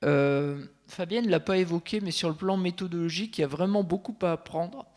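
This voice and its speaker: A man speaking as a consultant on a conference panel, measured and thinking aloud, with frequent fillers and restarts. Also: high-pitched, a little mellow.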